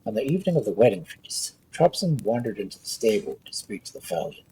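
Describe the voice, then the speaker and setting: Very husky, mellow male storyteller. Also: fairly low pitch, very animated, fast talker, rough recording. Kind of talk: folk tale read aloud.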